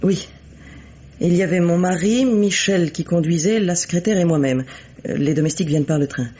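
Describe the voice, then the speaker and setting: A composed middle-aged widow in a vintage radio mystery, heard through a dull, lo-fi recording. Her voice is mellow and slightly husky. She speaks formally and deliberately, with cool bitterness under the poise. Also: on the low side. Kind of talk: French radio mystery play acting.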